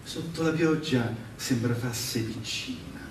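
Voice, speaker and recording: A man reciting patriotic verse on stage in a solemn, declamatory manner, with pauses between phrases. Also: mid-range voice, slight background noise. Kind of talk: theatrical patriotic poetry recitation. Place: large echoing performance venue, live show. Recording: very echoey hall, distant mic, music.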